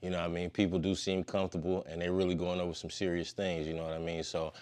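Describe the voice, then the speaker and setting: Deep-voiced laid-back man in his fifties. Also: monotone, fast, a little mellow, clear, resonant tone. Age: 50s. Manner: laid-back, slangy, long-winded. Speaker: podcast guest. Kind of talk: casual conversation.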